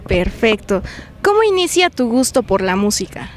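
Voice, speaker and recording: A woman's voice: fairly high pitch, very animated, normal speed. A young woman with a Mexican accent, conducting an on-location interview outdoors with a handheld microphone. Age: young adult. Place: outdoors in an open public plaza. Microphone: handheld reporter microphone. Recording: faint outdoor ambient noise.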